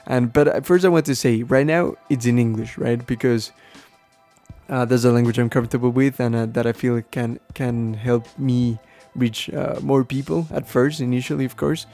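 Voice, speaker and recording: A man whose first language is Spanish, explaining things casually and haltingly as a podcast host, thinking aloud as he goes. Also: medium pitch, fairly even intonation, dark tone, soft background music. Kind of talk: casual unscripted explaining to listeners.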